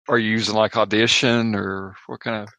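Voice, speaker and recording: A man's voice: on the low side, fairly even intonation, a little slow, bright, forceful tone, dry recording. A man hosting a podcast interview in an informal, joking way. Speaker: podcast host. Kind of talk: casual interview chat.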